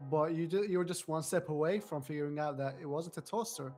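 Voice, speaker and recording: A man's voice: fairly high pitch, quick pace, a little mellow, soft background music. A man as a guest in a casual live-stream chat, his voice strained and cracking, laughing often and repeating words.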